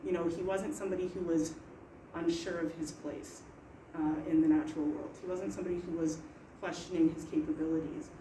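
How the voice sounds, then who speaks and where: A woman's voice: low-pitched, little pitch movement, a little fast, dark tone, a little hiss or hum. A young woman on a panel speaking earnestly and thoughtfully in a casual way. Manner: earnest, thoughtful, many fillers. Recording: echoey room, far from mic.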